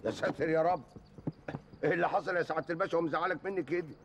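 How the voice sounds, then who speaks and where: A man's voice: on the high side, fairly even intonation, racing, gravelly, noisy background. An actor playing a scheming village man with an Egyptian Arabic accent, sly on the phone and fawning toward his master. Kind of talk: TV drama acting.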